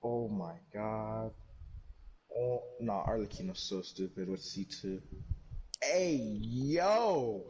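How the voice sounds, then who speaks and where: Casting: young male gamer, slangy, dark animated voice, slightly dull noisy mic. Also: lowish voice, slow. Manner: slangy, exclamatory. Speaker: game streamer. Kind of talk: casual live gaming chat.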